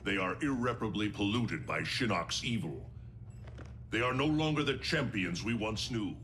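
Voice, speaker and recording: A man's voice: on the low side, expressive, moderate pace, fairly bright tone, a little hiss or hum. An actor voicing a stern, commanding male thunder god with a solemn, grave delivery. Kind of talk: video game cutscene acting. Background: orchestral game score.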